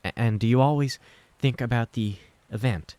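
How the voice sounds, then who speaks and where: A man voicing a gentle, worried middle-aged mother who speaks softly and carefully to reassure her son. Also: mid-range voice, normal speed, voice pushed up, natural voice.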